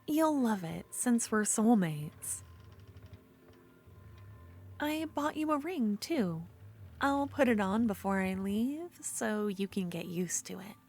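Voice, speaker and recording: A woman playing an obsessive, possessive yandere duelist in an ASMR fantasy roleplay, speaking intimately and moving between soft speech and breathy whispers. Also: medium pitch, expressive, slow pace, pauses often. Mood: possessive, sweet.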